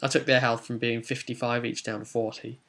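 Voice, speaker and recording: A man's voice: medium pitch, level tone, brisk, a bit bright. A man with a British accent casually recounting a tabletop role-playing session as game master, chatty and explanatory, with asides to the viewer.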